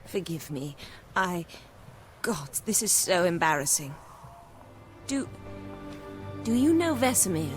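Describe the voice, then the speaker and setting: A woman playing a wealthy aging countess in a video game, wistful and genteel, with formal, measured speech. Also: fairly low pitch, sing-song, over music. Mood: wistful, confessional. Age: older.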